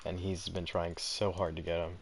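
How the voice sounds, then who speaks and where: A young man giving casual gameplay commentary, rambling and halting as if talking to himself. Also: low voice, flat delivery, creaky, noisy background. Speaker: gaming video creator. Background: loud game music.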